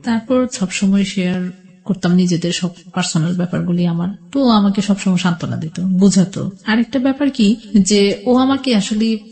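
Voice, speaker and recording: A woman's voice: medium pitch, moderate pace, darkish tone. A middle-aged woman with a Bangladeshi accent recounts her own life as a radio interview guest, in a rambling, colloquial way. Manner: rambling, colloquial, quotes past conversations. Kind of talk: personal storytelling in a radio interview.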